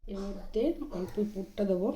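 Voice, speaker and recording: A woman's voice: medium pitch, level tone, normal speed, dark tone. A woman with a Bengali accent giving a casual cooking demonstration, explaining each step as she does it. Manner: step-by-step narration of own actions. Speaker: home cook vlogger. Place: home kitchen, indoors. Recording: noisy home kitchen, frying and sizzling. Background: frying, sizzle, cooking noise.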